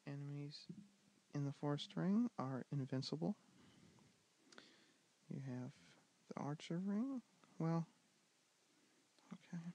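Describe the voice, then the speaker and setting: A young man with a soft, gentle voice talks a listener through a board game, often sinking into a breathy whisper. His voice sits a little high for a man, and he hesitates with ums as he goes. It sounds picked up by a handheld camera mic. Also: animated, takes it slowly, pauses often, poor audio. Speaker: ASMR board game teacher. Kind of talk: soft-spoken ASMR tutorial, often whispering.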